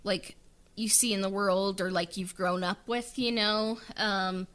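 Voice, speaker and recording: A woman with a bright, clear voice talks through her writing process in a loose, casual way. She rambles and leans on filler words while she thinks out loud. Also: medium pitch, steady tone, measured pace. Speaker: writer and producer of an audio drama. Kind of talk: casual interview chat.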